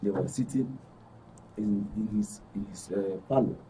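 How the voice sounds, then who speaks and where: A man's voice: fairly low pitch, unhurried. Northern nigerian guy in his 50s telling his story on a panel, repeats himself, bit distant, slight noise. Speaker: panel guest. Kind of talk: personal storytelling in a studio discussion. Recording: distant mic with faint noise.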